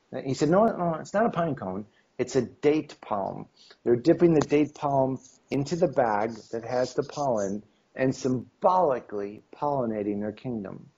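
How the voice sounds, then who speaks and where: A man's voice: medium pitch, dark tone, husky. A middle-aged man with a slight cold, talking on a video call as an interview guest, rambling with many ums and acting out quoted dialogue. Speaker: interview guest, esoteric history author. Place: home room on video call. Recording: dull lo-fi video call audio.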